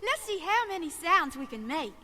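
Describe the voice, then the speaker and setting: A woman voicing a bright, eager girl puppet with a girlish voice on a children's record. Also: very high-pitched, sing-song, normal speed, long reverb tail, exaggerated voice. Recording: noisy vintage 1960s children's record.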